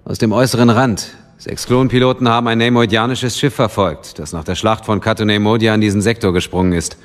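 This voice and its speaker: An actor playing a dutiful, respectful intelligence captain, formal and factual, in a radio drama. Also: male voice, on the low side, steady tone, a little fast, close to an everyday voice.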